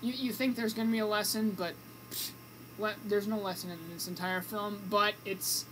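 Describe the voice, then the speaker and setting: A woman's voice: medium pitch, flat delivery, moderate pace, a bit bright. Hesitant woman co-host, noisy recording. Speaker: radio show co-host. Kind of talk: casual movie review banter.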